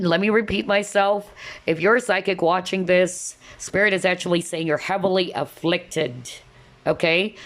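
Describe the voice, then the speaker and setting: A woman giving a casual tarot reading to viewers, chatty and full of asides, her voice slightly nasal as she loses it. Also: lowish voice. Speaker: tarot reader.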